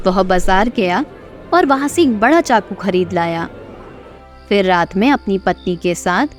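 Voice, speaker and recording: A woman hosting a story channel, telling a children's folk tale in a clear, expressive scripted narration. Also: medium pitch.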